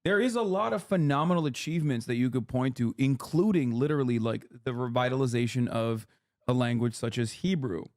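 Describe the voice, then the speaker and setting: A man in his thirties streaming live, explaining history in a rapid, lecturing way with many fillers and restarts. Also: medium pitch, darkish tone. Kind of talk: casual livestream explanation.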